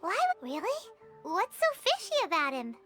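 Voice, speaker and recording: A tiny floating fairy companion for an anime video game. The voice is extremely high, squeaky and childlike, swinging widely in pitch. The fairy is chirpy, inquisitive and exaggerated, with music playing clearly behind. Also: female voice, huge pitch swings, moderate pace, full character voice. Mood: curious, pondering.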